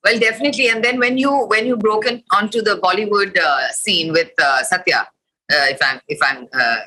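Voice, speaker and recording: A woman co-hosting an interview podcast, asking her questions in an animated way with a few fillers. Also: mid-range voice, fairly quick, poor audio. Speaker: podcast co-host. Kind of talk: casual interview chat.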